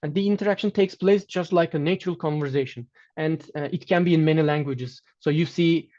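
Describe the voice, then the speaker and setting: Guest lecturer, male, Turkish native speaker, fairly high voice, measured delivery with restarts, dull lo-fi sound. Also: a little mellow. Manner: measured, with frequent restarts and fillers. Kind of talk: online academic lecture with slides.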